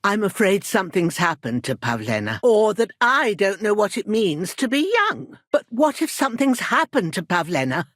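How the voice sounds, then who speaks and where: A woman's voice: medium pitch, big pitch swings, moderate pace, few pauses, a bit bright, dry recording, clean studio sound. An actress playing a worried old village herbwoman in a video game, protective and proud, with a plain-spoken rustic manner. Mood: worried, pleading. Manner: rustic, plain-spoken, folksy turns of phrase. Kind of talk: scripted video game character dialogue.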